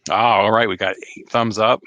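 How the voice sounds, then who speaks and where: A slightly hoarse man chatting casually on a live stream, rambling with many fillers and restarts. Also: lowish voice, steady tone, normal speed, a bit bright, no room sound, lo-fi sound. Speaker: RV vlogger hosting a live chat.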